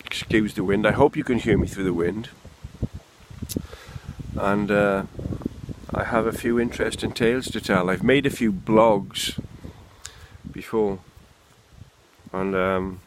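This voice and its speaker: A man's voice: fairly low pitch, steady tone, measured pace, pauses often. A Welsh man rambling candidly and swearing freely in an unscripted monologue, recorded outdoors in the wind. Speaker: vlogger.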